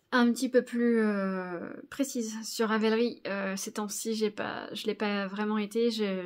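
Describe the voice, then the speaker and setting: A woman in her thirties from Normandy, chatting casually to camera in a knitting vlog, hesitant with many fillers and quick laughs. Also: mid-range voice. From Normandy, France. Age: 30s. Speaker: knitting video host. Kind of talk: casual monthly vlog chat.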